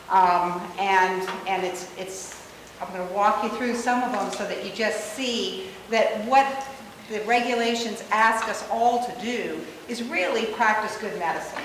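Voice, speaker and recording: A woman's voice: medium pitch, fairly bright tone, a little hiss or hum. A middle-aged American woman, a physician, giving a conversational and emphatic conference talk, repeating her points. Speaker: physician speaker. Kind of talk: conference presentation. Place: hotel conference room. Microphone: no mic, room pickup. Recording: echoey hall from far off.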